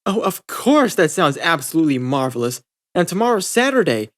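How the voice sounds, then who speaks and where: A man's voice: a little high, very animated, fairly quick, fairly bright tone. A man voicing a refined, fussy young lady with a genteel, theatrical way of talking. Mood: fussy, gracious.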